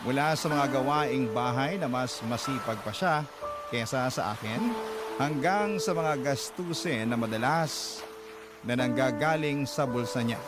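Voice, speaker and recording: A man reading a listener's letter in the first person as scripted radio drama narration, with a steady, measured delivery. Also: medium pitch. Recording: loud background music.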